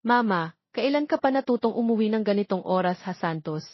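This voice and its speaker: A woman's voice: mid-range voice, lively intonation, fairly quick, no echo, dull audio, lightly acted. A woman voicing a strict, worried mother in a romance story, stern and questioning. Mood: angry, scolding. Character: strict, worried mother scolding her grown daughter.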